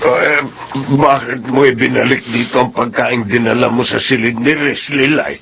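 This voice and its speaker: A man playing a worried, pious, gentle old grandfather in a Filipino radio drama. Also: mid-range voice, steady tone, moderate pace, vocal fry, lightly acted. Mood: concerned. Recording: loud music behind voice.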